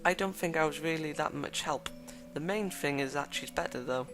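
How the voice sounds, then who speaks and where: A man's voice: fairly high pitch, moderate pace, a bit bright, close to an everyday voice. A man reading a thoughtful teenage schoolboy's lines and inner narration in a plain, reflective way, with faint music behind.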